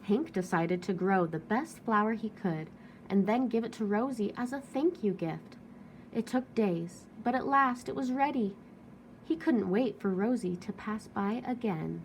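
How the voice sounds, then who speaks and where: Female storybook reader, mid-range voice, expressive read-aloud narration, audible background noise. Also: mid-range voice, unhurried, rough recording.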